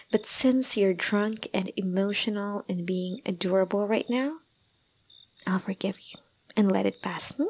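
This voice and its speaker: A woman playing a gentle, doting young woman in an ASMR roleplay, soft and breathy, often near a whisper, soothing and lightly teasing. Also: mid-range voice. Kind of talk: ASMR roleplay, intimate one-sided dialogue.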